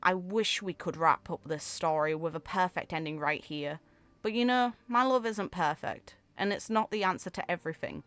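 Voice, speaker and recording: A woman's voice: lowish voice, normal speed, a bit bright, lightly acted. A woman voicing a sweet, wistful young heroine from a game story, in a tender storybook manner.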